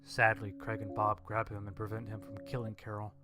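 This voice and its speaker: A man's voice: lowish voice, monotone, brisk. A man reading a scripted horror story as its narrator. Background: instrumental music.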